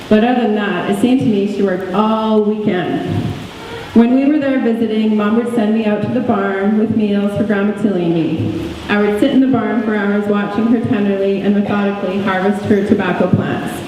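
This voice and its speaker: A woman reading a book chapter aloud as narrator, measured and reflective. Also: medium pitch, warm tone. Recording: echoey hall, distant mic, faint noise.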